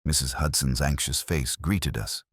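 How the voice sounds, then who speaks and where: A man reads a scripted mystery story in a measured, atmospheric, dramatic way. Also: rumbling low, husky, no echo, clean studio sound. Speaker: audiobook narrator.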